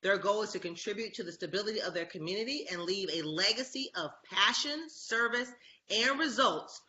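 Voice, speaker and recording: Bright, bubbly woman hosting a talk show. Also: medium pitch, animated, fairly quick, lo-fi sound. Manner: enthusiastic, gushing, reads bio aloud. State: slightly scratchy voice. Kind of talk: casual interview chat.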